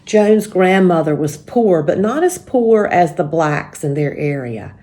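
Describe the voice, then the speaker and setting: A woman leading a church story time, introducing and reading a children's book aloud in a measured, explanatory way. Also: lowish voice, expressive, mellow.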